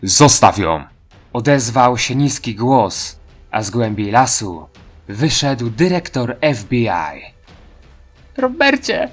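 A man's voice: medium pitch, lively intonation, takes it slowly, soft background music. A man narrating a scripted horror story as an audio drama.

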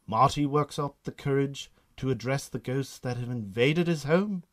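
A man's voice: mid-range voice, normal speed. A man with a Northern Irish accent narrating a scripted radio drama.

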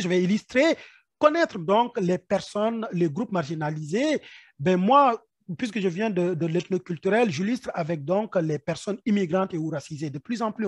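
High-pitched man stammering through a webinar. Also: breathy edge, dry recording. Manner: many repeated stammered words, thinking aloud. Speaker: project officer leading a workshop. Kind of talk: webinar presentation. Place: indoor room. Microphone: video call webcam.

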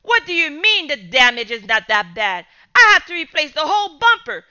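A woman voicing an angry middle-aged father who shouts and scolds in a storybook reading. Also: very high-pitched, lively intonation, normal speed, highs cut off, voice pushed up, exaggerated voice.

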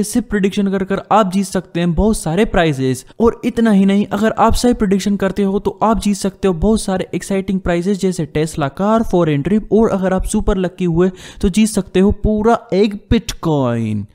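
A man's voice: high voice, normal speed, few pauses, a little mellow. A man giving scripted promotional narration as a sponsor's presenter. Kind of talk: scripted promotional narration, Hindi mixed with English.

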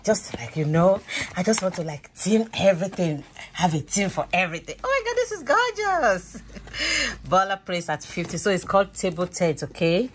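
A woman in her fifties talks to her viewers through a phone held at arm's length, chatty and thinking out loud. Her voice sits a little low, and clear background noise runs under the recording. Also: big pitch swings, normal speed. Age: 50s. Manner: chatty, thinking aloud, laughs easily. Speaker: vlogger. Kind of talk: casual chatty vlog.

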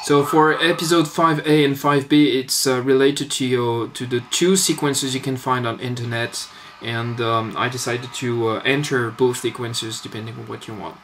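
A man giving an informal demonstration of his electronics project, speaking in a hesitant, halting way. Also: medium pitch, fairly even intonation, fairly bright tone. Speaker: electronics hobbyist presenting own board. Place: indoors at a workbench. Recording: noisy webcam-style audio with clicks.

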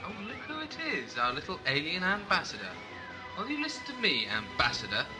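Brutal male overseer, acted by a man, highish voice swinging wildly, bullying sci-fi villain over music. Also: wildly swooping pitch, brisk, rough recording. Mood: sneering. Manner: bullying, mocking. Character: sneering, brutal male prison camp overseer. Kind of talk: amateur sci-fi audio drama.

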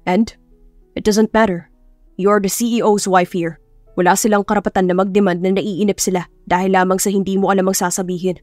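A woman's voice: mid-range voice, little pitch movement, a little fast, a little mellow, no echo, soft background music, voice pushed down, lightly acted. A woman voicing a curt, protective young male executive with a blunt, commanding manner in an audiobook. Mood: firm, protective. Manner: blunt, commanding, switches into English. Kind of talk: dramatised audiobook dialogue.

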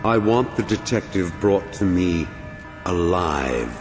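An immortal video game mastermind, patient and commanding. The voice is deep and formal, delivered very slowly. Loud music swells behind it. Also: male voice, animated. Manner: measured, formal, imperious. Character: commanding centuries-old male mastermind villain, patient and regal. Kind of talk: video game cutscene acting.

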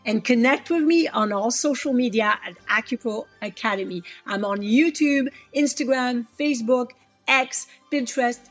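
A woman's voice: fairly high pitch, moderate pace, faint music behind. A middle-aged woman with a French accent, hosting a podcast and explaining things simply and casually, often checking in with the listener. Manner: friendly, explains simply, asks listeners "make sense?". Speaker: podcast host and acupuncture teacher. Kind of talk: casual teaching monologue.

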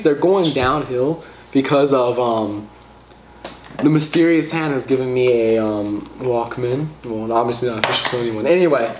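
A young man in his teens casually explaining a gadget on his own channel, rambling and chatty with lots of fillers and restarts, recorded on a camera mic a few feet away at a table indoors. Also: medium pitch. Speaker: vlogger. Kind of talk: casual tutorial vlog. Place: small room indoors at a table. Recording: echoey room with faint hiss.

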